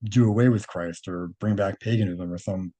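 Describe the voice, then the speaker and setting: A man speaks in a fairly low, dark and relaxed voice. He reads from a document deliberately and comments on it as he goes in an informal, opinionated way. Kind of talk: reading a document aloud with off-the-cuff commentary.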